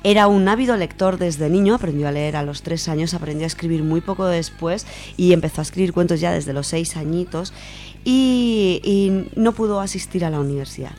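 A woman's voice: fairly low pitch, brisk, a little mellow. A woman from Spain presenting on radio, giving an informal, semi-prepared account in an explanatory way, restating points as she goes.

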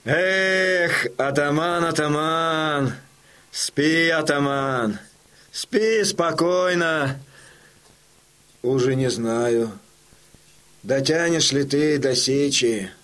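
High-voiced, slow-spoken Cossack comrade. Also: male voice, a bit bright. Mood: sorrowful, tender. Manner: scolding, insistent. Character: loyal Cossack comrade, gruffly tending a wounded friend. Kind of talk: dramatic audio drama acting.

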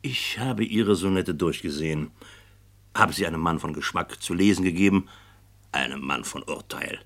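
Deep, pompous publisher. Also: male voice, normal speed, fairly bright tone. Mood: condescending. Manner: pompous, evasive. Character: shrewd, smoothly condescending male publisher. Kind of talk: German radio play acting.

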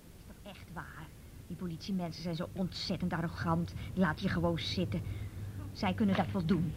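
A woman's voice: lowish voice, fairly even intonation, fairly quick, close to an everyday voice. Actress as indignant, icily polite mother. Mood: scornful. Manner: sarcastic, cutting. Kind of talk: radio drama acting. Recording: radio play with faint noise.

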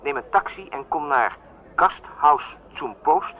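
A man's voice: on the high side, animated, slow. An actor playing a cautious, curt male agent heard through a telephone in a radio drama. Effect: telephone filter. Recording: dull telephone-filtered radio play voice.

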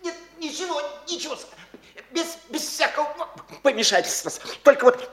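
An actor playing a fussy, meek little country landowner in a classic Russian comedy audio play, stammering apologetically. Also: male voice, extremely high pitch, animated. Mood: flustered, apologetic. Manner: stammering repetitions, hurried and apologetic. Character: fussy, flustered little gossiping country landowner, meekly eager.

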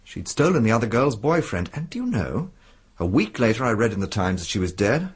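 Wry urbane english gent writer, really husky, voice jumps around a lot, british radio play. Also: male voice, lowish voice, sing-song, a little fast. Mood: relating, wry. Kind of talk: British radio drama acting.

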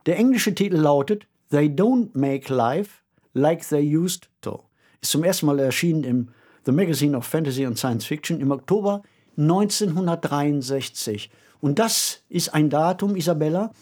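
Guy podcast host, slightly high raspy voice, chatty but thoughtful, leans on key words. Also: lively intonation, moderate pace, a little mellow. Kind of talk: conversational discussion of a radio play.

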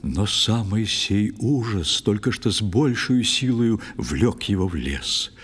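A man narrating an audio play in scripted verse, with a theatrical, expressive literary delivery. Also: fairly low pitch, slow, slightly rough.

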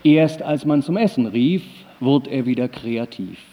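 Guy reciting funny poem on stage, relaxed dark tone, big echoey hall. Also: mid-range voice, a little slow. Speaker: stage performer. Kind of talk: humorous verse recitation. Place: small broadcast hall, public event. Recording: reverberant hall, voice off mic.

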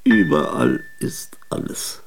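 An actor playing a weary, bitter old man who talks slowly and reflectively in a radio drama monologue, musing aloud about memory. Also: lightly acted. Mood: brooding. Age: older. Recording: piano music behind. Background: piano playing.

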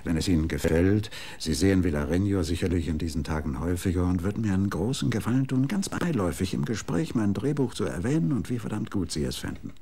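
A sleazy, boastful old screenwriter. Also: male voice, deep voice, animated, fast talker, hardly any pauses, mellow, husky, heavily acted. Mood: persuasive. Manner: pompous, ingratiating. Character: boastful aging male screenwriter, sleazy and self-pitying. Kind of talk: radio drama acting.

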